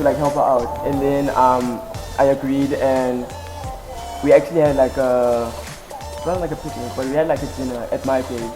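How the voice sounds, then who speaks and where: A young man of eighteen tells his side of a story in a halting, easygoing way, filling his pauses with ums. His pitch sits in the middle range. Background music plays clearly beneath his voice. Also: poor audio. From South Africa (Eastern Cape). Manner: hesitant, many ums and "actually". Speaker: first-year law student, interviewee. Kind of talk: casual interview answers. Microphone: headset.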